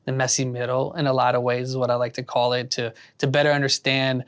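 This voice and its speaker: A man's voice: medium pitch, level tone, fast talker, a bit bright, clean tone. A man hosting an interview, asking rambling, earnest questions full of restarts. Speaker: interview host. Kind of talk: casual interview.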